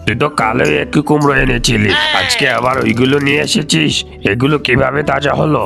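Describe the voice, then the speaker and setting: Gravelly, brash cartoon animal uncle played by a man. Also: medium pitch, fairly even intonation, brisk, music in the background. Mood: scoffing, pushy. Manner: scoffing, pushy. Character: brash, spiteful animal uncle, rival pumpkin seller. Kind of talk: cartoon character voice acting.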